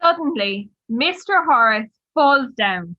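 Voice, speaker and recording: A woman's voice: fairly high pitch, expressive, slow. A woman narrating the stage directions of an amateur radio play as a scripted reader. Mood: neutral, measured. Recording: slightly dull, lo-fi audio.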